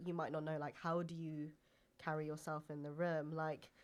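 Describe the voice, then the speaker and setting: A woman's voice: deep voice, flat delivery, moderate pace. A young woman from England, chatting casually as a podcast guest and filling her talk with "like" and "um". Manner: chatty, heavy use of "like" and "um".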